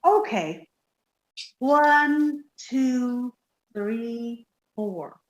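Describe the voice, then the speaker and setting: A woman's voice: on the high side, animated, very slow, reverberant, muffled recording. A woman voicing a childlike, dim, easily frightened young woman in a radio comedy. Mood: naive, earnest. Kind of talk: 1950s radio sitcom reading.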